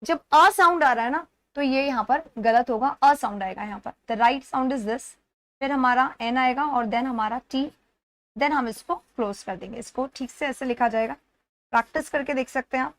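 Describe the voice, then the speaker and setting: A woman's voice: high voice, normal speed, fairly bright tone, no room sound. A woman with an Indian accent teaching an exam-prep lesson step by step, repeating key words and checking that students follow. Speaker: English teacher.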